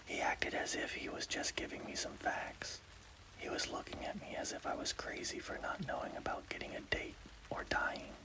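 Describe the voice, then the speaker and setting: A man narrating a scary story as whispered ASMR, in a hushed, deliberate, breathy voice with a gravelly creak. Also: low-quality recording.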